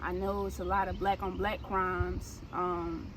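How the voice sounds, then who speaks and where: A woman answers interview questions. She is laid-back and hesitant and fills her pauses with ums. Steady background noise runs clearly under her voice. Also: lowish voice. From South Carolina. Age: 20s. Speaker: rapper, guest.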